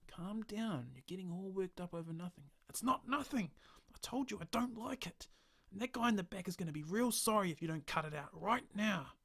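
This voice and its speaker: A man voicing a brooding male drifter and killer who speaks curtly and later shouts frantically. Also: high voice, animated, normal speed, vocal fry, hardly any pauses, voice pushed up. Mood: frantic. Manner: curt and tense, rising to frantic shouting.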